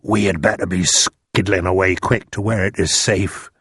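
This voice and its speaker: A man voicing a gentle, friendly giant in a storybook, confiding in hushed tones with quaint muddled grammar. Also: sits low, steady tone, normal speed, breathy edge, no room sound, studio quality, heavily acted. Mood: hushed, urgent.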